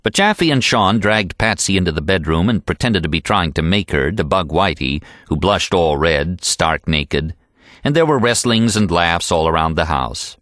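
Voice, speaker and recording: A man's voice: deep voice, animated, moderate pace, a bit bright, no room sound, clean studio sound. An American man reading a literary novel aloud as an audiobook narrator.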